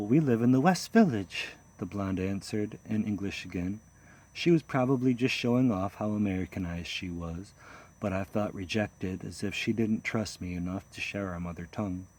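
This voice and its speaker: A man with a lowish voice reads a first-person memoir in a measured, steady way. His tone is mellow and dark, with a faint hiss of noise under the recording. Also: rough recording. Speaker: audiobook narrator.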